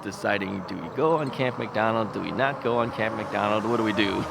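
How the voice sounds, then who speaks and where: A man with a gravelly, creaky voice chats as he rides an ebike outdoors. He rambles with easy chuckles, talking into a bike-mounted camera over clear traffic and wind noise. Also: lowish voice, moderate pace. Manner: rambling, chuckling, self-questioning. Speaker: vlogger. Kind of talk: casual thinking aloud while riding. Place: outdoors on suburban streets, riding an ebike.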